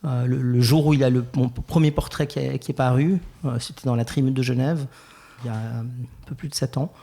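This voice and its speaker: A young Swiss French man from Geneva, telling his life story as a podcast guest, thoughtful and hesitant with many pauses and restarts. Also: mid-range voice, steady tone, creaky, dark tone. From Geneva, Switzerland. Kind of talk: reflective personal interview.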